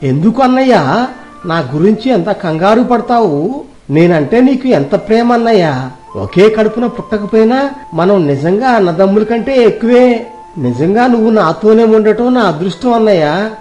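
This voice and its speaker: A man's voice: high-pitched, lively intonation, moderate pace, a little mellow, soft background music. A man playing a rich, trusting, affectionate older village uncle in a dramatized moral story, speaking in a sentimental, effusive way.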